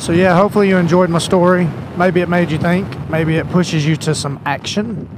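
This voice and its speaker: High-voiced man over loud road noise. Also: steady tone, brisk. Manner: earnest, colloquial, preachy. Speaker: motorcycle vlogger. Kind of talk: casual faith talk while riding. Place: outdoors, riding a motorcycle.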